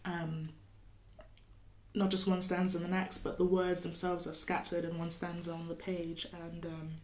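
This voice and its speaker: Low, even-voiced woman poet. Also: moderate pace, a little hiss or hum. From California. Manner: thoughtful, hesitant with many fillers, laughs often. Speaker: poet guest. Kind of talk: podcast interview with poetry reading.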